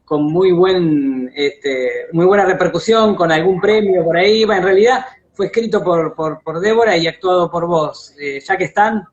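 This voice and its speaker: A man's voice: high voice, moderate pace. A man with an Argentine accent hosting a casual live interview, appreciative and wordy, over an internet video call. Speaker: interview host. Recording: dull lo-fi livestream audio.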